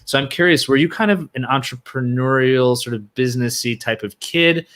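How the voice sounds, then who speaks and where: A man's voice: mid-range voice, a little fast, a bit bright. Chatty male podcast host. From United States. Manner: rambling, many fillers and restarts, friendly. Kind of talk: casual interview conversation.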